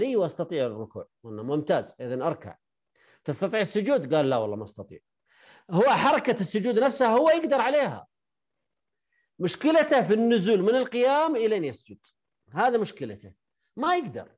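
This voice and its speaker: A man teaching a jurisprudence lesson online, briskly quizzing and correcting his students. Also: sits high, darkish tone.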